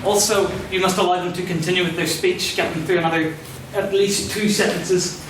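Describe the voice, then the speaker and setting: Higher-voiced man chairing, in an echoey hall. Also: steady tone, a little fast, music in the background. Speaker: society president chairing the debate. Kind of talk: procedural announcements to a meeting. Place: echoey debating hall, distant mic. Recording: echoey hall, far mic, clear noise.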